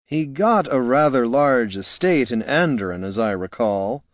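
A man voicing a gnarled, aggrieved old farmer with a high, strained rustic voice in an audiobook. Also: animated, measured pace, no echo, clean studio sound, lightly acted. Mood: wheedling. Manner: pleading, indignant outbursts. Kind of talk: audiobook character voice.

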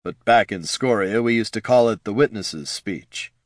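A man's voice: lowish voice, normal speed, no room sound, studio quality, natural voice. A man voicing a weathered older male mercenary who talks in an easygoing drawl, in an audiobook. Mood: casual.

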